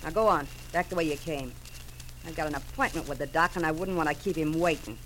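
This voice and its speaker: A woman's voice: low-pitched, quick pace, lightly acted. An actress playing a cool, menacing armed woman, terse and threatening, in 1950s radio style. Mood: cold threat. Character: menacing, cool mystery woman holding a gun. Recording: noisy old radio recording.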